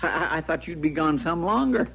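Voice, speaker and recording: A muffled, hissy old radio western recording carries a kindly, simple frontier deputy. His voice sits a little high, with a rural Western American drawl, and he stammers along in a folksy, easily flustered way. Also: animated, fairly quick, gravelly. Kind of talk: 1950s radio western acting.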